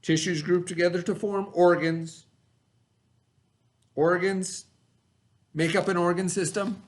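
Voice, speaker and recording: Higher-voiced man teaching a lesson. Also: fairly even intonation, slow. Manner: instructional, prompting with questions and repeating key words. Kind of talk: read-aloud lesson for children with questions to the class.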